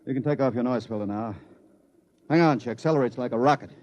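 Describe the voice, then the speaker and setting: An actor playing a brusque chief engineer, giving urgent, clipped orders in a 1950s radio drama. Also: male voice, mid-range voice, quick pace, muffled recording, lightly acted. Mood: brisk. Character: brusque, commanding male chief engineer in an emergency. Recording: faintly noisy 1950s radio recording.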